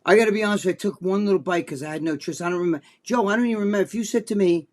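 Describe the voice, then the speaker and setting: Older New Jersey man, podcast co-host, foul-mouthed animated storyteller with a slightly higher voice. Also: rapid-fire. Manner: animated, profane, acts out quotes in a high voice. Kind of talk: casual storytelling chat.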